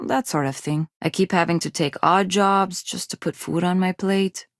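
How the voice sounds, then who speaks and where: An actress playing a shy, self-doubting young undergraduate from a poor space colony, with a hesitant, awkward manner, in video game dialogue. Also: female voice, fairly low pitch, steady tone, moderate pace, dry recording, clean studio sound, natural voice. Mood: resigned, wistful. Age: young adult.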